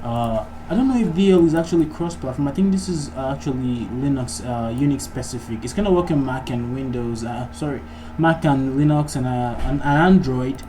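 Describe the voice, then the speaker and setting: A man giving a casual programming tutorial, thinking aloud in an informal, halting way as he works. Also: medium pitch, dark tone. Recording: noisy mic with keyboard typing. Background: background noise, keyboard typing.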